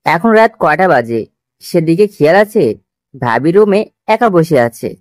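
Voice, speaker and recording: A man's voice: a little high, sing-song, a little slow, dry recording, studio quality. A man playing a casual, familiar office manager and loyal best friend in an audio love story, a little bossy. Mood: nagging, teasing.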